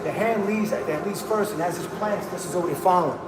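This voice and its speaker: High-voiced hyped male streamer, echoey room. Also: brisk, very noisy. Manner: hyped, slangy, calls viewers bro and man. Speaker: livestream host. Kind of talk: casual livestream chat. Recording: echoey room with music behind.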